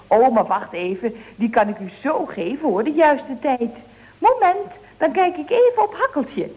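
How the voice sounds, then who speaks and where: An actress playing a chatty, scatterbrained young telephonist in an old radio comedy, chirpy and rambling. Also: female voice, high voice, expressive, normal speed, a little mellow. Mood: chatty, helpful. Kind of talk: 1970s radio comedy acting. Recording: dull vintage radio recording.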